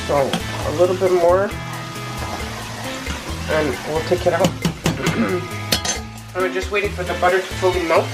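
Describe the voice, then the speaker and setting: A woman in her thirties with a stuffy, congested voice, casually talking through a recipe step by step in her home kitchen. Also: medium pitch. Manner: relaxed, chatty step-by-step explaining. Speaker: home cook filming a cooking vlog. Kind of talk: casual cooking narration. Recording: echoey kitchen with music underneath.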